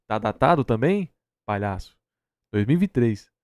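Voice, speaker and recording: Animated, blunt Brazilian man. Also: medium pitch, normal speed. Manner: blunt, mocking, sweary. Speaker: reaction stream co-host. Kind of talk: casual argumentative commentary.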